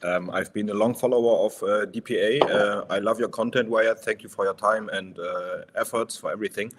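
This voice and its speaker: A man from Germany of Turkish origin, chatting casually and haltingly as a guest on a video call. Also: lowish voice, fairly even intonation. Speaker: first-time open-mic guest. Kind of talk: casual chat. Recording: noisy live stream line, clicks.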